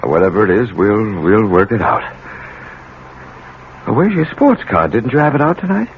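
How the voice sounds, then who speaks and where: An actor playing a weary, testy middle-aged father in a radio drama, halting and guarded. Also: on the low side, big pitch swings. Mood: guarded, probing. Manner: halting, guarded, repeats himself. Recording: dull, hissy vintage radio recording.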